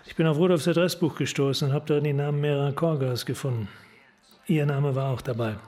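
Bullying, cynical cop for radio crime drama, male actor; fairly high pitch, clipped blunt delivery. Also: steady tone, fast, darkish tone, natural voice. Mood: matter-of-fact. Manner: blunt, terse, hard-boiled. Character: tough, bullying, cynical male American police detective.

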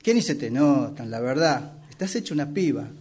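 A man's voice: mid-range voice, expressive, moderate pace, darkish tone, lightly acted. A man with a Rioplatense Argentine accent acting a chatty, over-familiar, effusive acquaintance in a radio play. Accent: Rioplatense Argentine Spanish. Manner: effusive, chummy.

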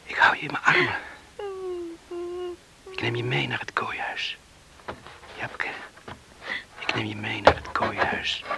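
An actor playing a tender, distraught young man in a Dutch radio drama, speaking softly and soothingly, often in a whisper. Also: medium pitch, fairly even intonation, a little slow, vocal fry, long pauses. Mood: tender, hushed. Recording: hissy old radio drama recording.

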